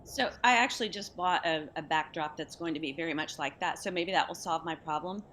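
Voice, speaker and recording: A woman's voice: low voice, brisk, a bit bright, a little hiss or hum. A woman taking part in an online session, casually asking questions in a polite, apologetic way. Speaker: audience member asking questions.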